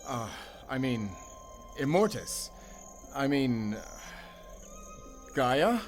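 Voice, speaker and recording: A man's voice: mid-range voice, sing-song, drawn-out words, soft background music. A man playing a loyal, earnest warrior comrade in a fantasy audio drama, with a pleading manner. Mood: flustered, pleading.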